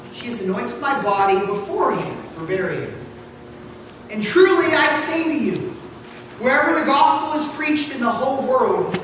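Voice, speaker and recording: A woman reading scripture aloud in church, solemn and deliberate, with dramatic phrasing. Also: sits high. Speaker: scripture reader. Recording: echoey hall from far off, faint noise.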